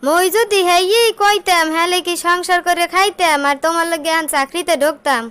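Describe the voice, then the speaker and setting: Nagging cartoon wife played by a woman, high-voiced, Barisal-region Bangladeshi accent, weary and scolding. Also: fairly even intonation, normal speed, sharp tone. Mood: scolding, lamenting. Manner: scolding, lamenting. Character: nagging, weary working wife of a jobless man. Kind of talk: comic cartoon dialogue in rural dialect.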